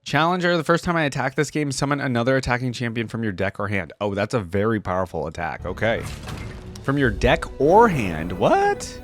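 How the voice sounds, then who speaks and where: A man's voice: mid-range voice, sing-song, fairly quick, faint music behind. A man hosting a reaction video, giving casual, informal and exclamatory commentary.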